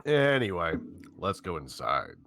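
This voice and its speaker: A man's voice: sits low, wildly swooping pitch, a little slow, vocal fry, fairly bright tone, exaggerated voice. A man reading the part of an awkward teenage boy in a casual staged reading, stammering and repeating himself nervously. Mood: flustered. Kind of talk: casual script read-aloud.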